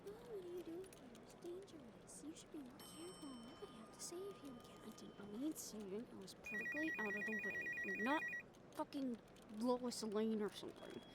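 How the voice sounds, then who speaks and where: A woman's voice: high-pitched, expressive, normal speed, heavy background noise. A woman playing a brash, stubborn, ambitious young reporter in an improvised audio roleplay, with a sarcastic, cocky, conversational delivery. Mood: sulky, annoyed.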